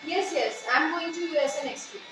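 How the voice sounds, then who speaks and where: A woman's voice: high voice, a little fast, natural voice. Young woman as businesswoman and mother, Indian accent, amateur student drama, distant mic, music behind. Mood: businesslike. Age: young adult. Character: anxious, grateful businesswoman, mother and strict boss. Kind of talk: amateur student play acting in English. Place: echoey rooms indoors. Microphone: phone camera at a distance. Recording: echoey room, distant phone, music behind.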